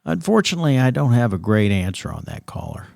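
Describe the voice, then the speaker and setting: Creaky late-night paranormal radio host. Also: male voice, mid-range voice, wildly swooping pitch, quick pace, darkish tone, no echo, clean studio sound, heavily acted. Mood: smooth, reassuring. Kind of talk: 1990s late-night radio show acting.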